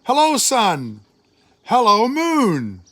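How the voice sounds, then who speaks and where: An older man voicing God as a joyful, loving creator in a storybook, with exclaimed, drawn-out greetings. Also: very high-pitched, huge pitch swings, a bit bright, no echo, pitched above the performer's own voice, exaggerated voice.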